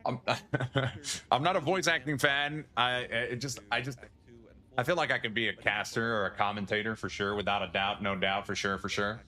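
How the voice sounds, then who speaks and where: A man's voice: mid-range voice, steady tone, normal speed, bright, forceful tone. A male streamer chatting casually on a livestream, rambling, swearing and chuckling often.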